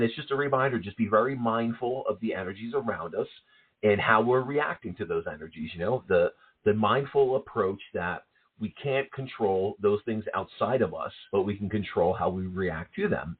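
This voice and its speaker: An East Coast American man co-hosts a spiritual radio show with a husky, low voice. He talks in a calm, reflective way and circles back over his words as he thinks. The sound is thin and tinny, like a phone line. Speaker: co-host, psychic medium. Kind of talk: casual spiritual radio talk. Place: at home.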